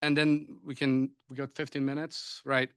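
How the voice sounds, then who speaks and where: A man's voice: mid-range voice, little pitch movement, normal speed, smooth, dry recording. A man whose first language is Persian, moderating a panel discussion, often hesitating and restarting his sentences. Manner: hesitant, many restarts and fillers.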